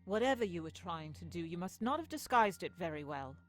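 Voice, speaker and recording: A woman's voice: lowish voice, very animated, a little fast. A woman playing a polite, guarded young political aide in an audio comic, courteous and restrained in manner. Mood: defensive. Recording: music behind the voice.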